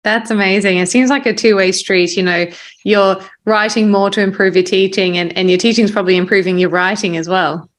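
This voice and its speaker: A woman's voice: medium pitch, fairly even intonation, a little fast. A woman hosting a podcast interview in a casual, conversational and encouraging way. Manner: encouraging, affirming.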